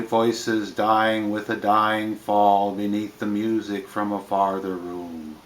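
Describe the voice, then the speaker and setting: A man reading poetry plainly in a measured, phrase-by-phrase delivery, with line endings that trail off. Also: lowish voice, fairly bright tone, echoey room, poor audio. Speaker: reader of poetry.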